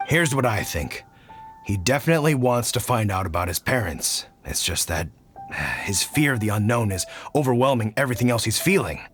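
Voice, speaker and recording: A man's voice: lowish voice, very animated, moderate pace, fairly bright tone. A man voicing a kindly, protective middle-aged hunter father in a video game, with a folksy, thoughtful, avuncular manner. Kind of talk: anime-style video game voice acting. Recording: faint music behind the voice.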